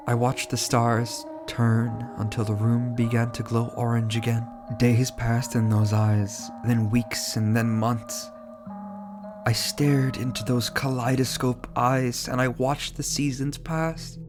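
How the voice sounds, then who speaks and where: A man with a stuffy cold voice narrating a horror story in a measured, slow, dramatic delivery. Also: medium pitch. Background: music.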